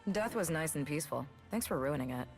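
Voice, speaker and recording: A young warrior heroine from a video game. She is dry, sarcastic and darkly funny, fiercely devoted underneath. Her fairly low voice swings widely in pitch, with faint music behind it. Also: fast talker, slightly rough, heavily acted. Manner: dry, sarcastic, deadpan delivery.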